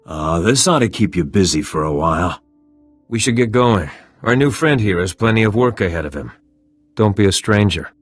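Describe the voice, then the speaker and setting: Seasoned male mercenary, low register, dryly amused and crafty, for a video game. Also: animated, normal speed. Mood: amused, casual. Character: seasoned, wry male bounty hunter, smooth and sly. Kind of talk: video game cutscene acting.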